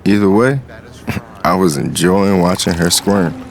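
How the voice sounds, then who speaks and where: A man acting a self-made street hustler who narrates an audio drama. His low voice is confident and reflective, smug yet smitten. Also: slow, creaky, slight background noise, heavily acted. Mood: smitten, self-assured. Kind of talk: scripted first-person storytelling narration.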